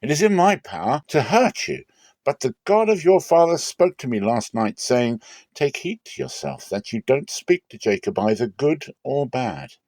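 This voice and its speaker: A man's voice: sing-song, normal speed, no room sound. A man voicing an indignant older man confronting his son-in-law in a dramatic scripture reading, accusing and strained. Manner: accusing, loud, strained high voice.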